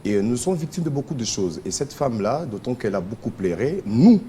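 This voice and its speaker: A man with a Congolese accent answering as a guest in a TV studio interview, often halting to search for his words. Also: medium pitch, very animated, warm tone, a little hiss or hum. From Kisangani, DR Congo. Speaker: charity association representative, TV guest.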